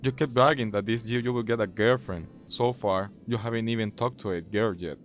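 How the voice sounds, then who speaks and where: An actor playing a teasing, skeptical high school boy in an audio drama. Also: fairly quick, soft background music, natural voice. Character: teasing teenage boy, John's school friend.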